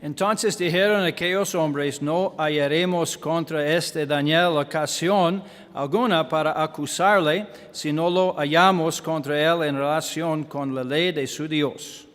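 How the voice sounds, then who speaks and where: A man with an American accent, a native English speaker, preaching in a church in halting Spanish with English fillers and self-deprecating humor. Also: fairly high pitch, fairly even intonation, a bit bright, big-room sound. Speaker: guest pastor. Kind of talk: sermon with Bible reading. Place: church sanctuary.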